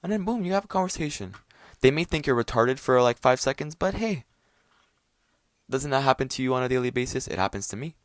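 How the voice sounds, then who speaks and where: A man's voice: medium pitch, very animated, fairly quick, no echo, clean studio sound. A young man from Quebec hosting his own podcast, ranting casually with lots of swearing, fillers and restarts. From Quebec, Canada. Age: young adult. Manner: profane, rambling, filler-heavy. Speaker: podcast host. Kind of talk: casual solo rant podcast.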